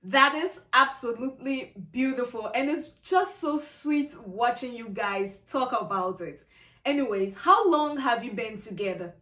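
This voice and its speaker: A woman's voice: a little high, expressive, unhurried, pushed and bright. Upbeat woman host in an echoey room. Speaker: interview host. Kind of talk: hosting an online interview. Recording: echoey room with faint music.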